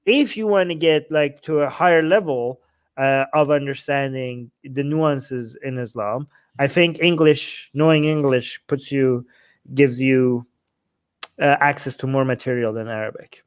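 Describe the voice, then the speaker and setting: A man co-hosting a casual question-and-answer show, answering viewer questions in a blunt, animated way with many restarts. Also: mid-range voice, unhurried, smooth. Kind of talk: casual Q&A chat.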